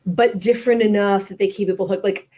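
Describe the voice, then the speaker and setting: A middle-aged woman with an American accent speaks in an informal literary interview. Her pitch is average for a woman. She thinks aloud and leans on key words as she builds toward a question. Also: steady tone, fast, mellow. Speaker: interviewer, novelist and teacher.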